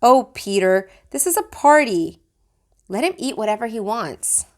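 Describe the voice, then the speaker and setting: A woman's voice: fairly high pitch, expressive, unhurried. A woman voicing an exasperated but easygoing mother, chiding and coaxing, in a children's storybook.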